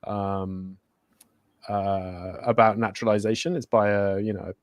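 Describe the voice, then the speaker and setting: A man's voice: on the low side, level tone, a little slow, no echo, muffled recording. A man raised in Spain co-hosting a casual finance talk show, chatting loosely, laughing often and correcting himself mid-sentence. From born in Germany, raised in Spain. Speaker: co-host. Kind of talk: casual chat.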